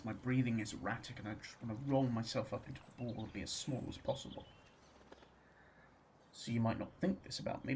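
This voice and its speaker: An actor playing an anxious, solitary man in a radio drama monologue, measured and introspective. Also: medium pitch, darkish tone, a little hiss or hum, close to an everyday voice, poor audio.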